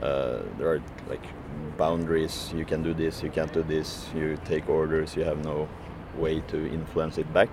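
A man with a very low voice, answering slowly and hesitantly, stopping often on fillers and restarting his sentences. Clear traffic and street noise run behind him. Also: little pitch movement. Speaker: striking food courier. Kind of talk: interview answers.

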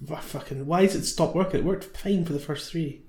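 A man's voice: fairly high pitch, brisk, a little mellow. A man chatting casually as a quiz panellist, joking and swearing. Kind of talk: casual banter.

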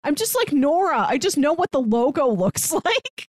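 A woman co-hosting a casual podcast, talking quickly with frequent laughter. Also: high-pitched, big pitch swings, dry recording, clean studio sound. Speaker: podcast co-host.

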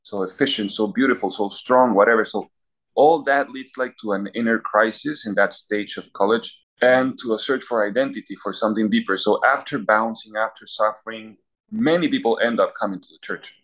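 A man's voice: on the low side, moderate pace, a bit bright, rough recording. A man from Peru, a university chaplain priest answering interview questions in a thoughtful, example-filled way. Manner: thoughtful, listing examples, clears throat.

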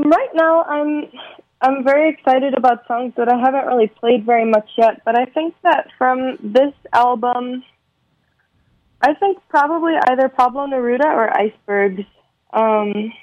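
A young woman singer-songwriter from California, talking thoughtfully in a remote interview, with many pauses and ums over a muffled call line. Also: a little high, no room sound. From San Fernando Valley, California. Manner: soft-spoken, thoughtful, hesitant with many ums.

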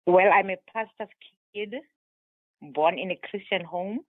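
A woman from South Africa whose first language is Tswana, a guest author calling in to an interview and telling her life story in a measured, deliberate way. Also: medium pitch, no echo. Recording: dry, tinny phone line.

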